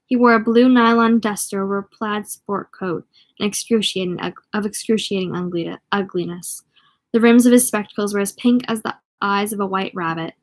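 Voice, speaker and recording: A woman's voice: medium pitch, moderate pace. A woman reading a novel aloud as an amateur, sometimes tripping over words and going back over phrases. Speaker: amateur audiobook reader.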